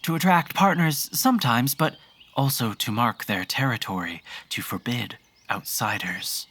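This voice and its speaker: A narrator reading scripted horror fiction in a measured, literary storytelling manner. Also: medium pitch, a bit bright, dry recording. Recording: faint noise and ambience behind voice.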